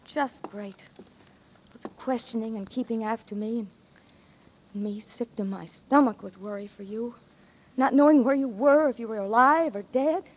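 Anxious, lovestruck young woman for vintage radio melodrama; high, husky, breathless voice on a muffled old broadcast. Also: normal speed. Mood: bitter, distressed. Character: devoted, anxious young woman in love, ex-nurse. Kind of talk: 1940s radio drama acting.

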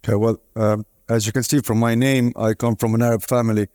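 A man speaks on a conference panel, his voice low and mellow. He tells stories reflectively and pauses now and then, and the sound is dry and close. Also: level tone, dry recording. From Italy. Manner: reflective, warm storytelling with hesitations. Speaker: league executive panelist. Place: conference stage.

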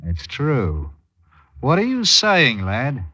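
Sly old pirate, husky n slow, salty talk, old radio drama. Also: male voice, medium pitch, wildly swooping pitch, bright, forceful tone, dry recording, heavily acted. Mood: sly, scheming. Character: rough old sly pirate sailor, coaxing and menacing. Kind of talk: 1950s radio drama acting. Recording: dull, dry old radio recording.

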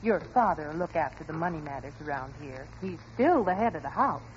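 A woman's voice: deep voice, animated, fairly quick. An actress playing a practical, long-suffering wife and mother in a 1940s radio sitcom, coaxing and mildly scolding. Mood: reproving. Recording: dull, noisy old radio recording.

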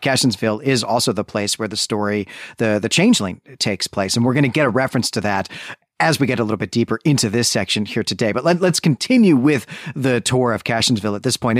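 A man's voice: medium pitch, no room sound, clean studio sound. A man co-hosting a podcast, giving a conversational literary recap in a chatty, emphatic way, often checking in with the listener. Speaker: podcast co-host.

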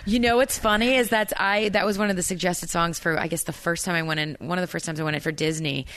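A woman from Maryland with a low voice, an actress chatting in an interview and rambling with many fillers and restarts. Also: fairly even intonation, quick pace, a bit bright, dry recording. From Maryland, USA. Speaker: actress, guest. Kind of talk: casual interview chat.

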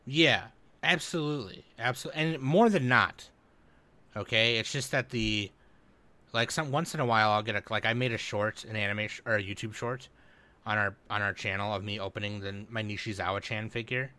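A man's voice: fairly low pitch, moderate pace, bright, forceful tone. A man hosting a podcast in casual chat, talking in profane, bro-heavy slang. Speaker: podcast host.

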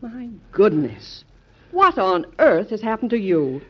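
An actress playing an affectionate, fussing president's wife in an old-time radio drama, brisk and solicitous. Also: female voice, mid-range voice, big pitch swings, husky, heavily acted. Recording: noisy, dull old radio recording.

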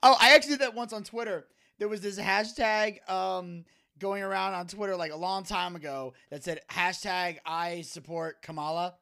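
Co-host, male, high bright voice, lively storytelling. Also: moderate pace, gravelly. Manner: animated storyteller, laughs often. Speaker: podcast co-host. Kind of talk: casual chat.

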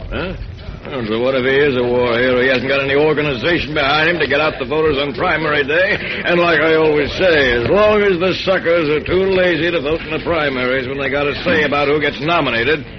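A man's voice: medium pitch, fairly quick, crowd noise, natural voice. An actor playing a crooked, calculating middle-aged American political boss, with slangy, dismissive tough-guy talk in a 1940s radio drama. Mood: cocky. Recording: muffled old radio recording, busy room murmur.

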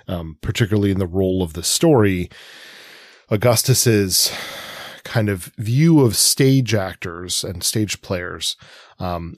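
A man co-hosting a literary podcast, discussing and citing sources in a tentative, halting conversational way. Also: fairly low pitch, dry recording. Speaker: podcast co-host.

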